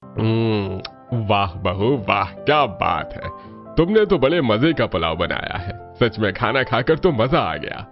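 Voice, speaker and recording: A man voicing a contented older father-in-law in a family cartoon, slow and appreciative. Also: fairly low pitch, very animated, dull audio, soft background music, full character voice. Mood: delighted, satisfied. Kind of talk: Hindi family cartoon voice acting.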